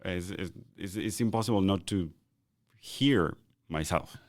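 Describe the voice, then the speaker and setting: Man, very deep booming bass, reflective, halting restarts, dry close sound. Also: no echo. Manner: thoughtful, halting with repeated starts. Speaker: podcast co-host. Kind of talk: reflective conversational chat.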